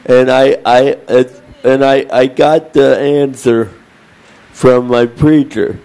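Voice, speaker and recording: Booming man facilitating a group talk, slow and mellow, repeats words as he goes. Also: fairly even intonation. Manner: repeats words and restarts phrases. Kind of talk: group discussion.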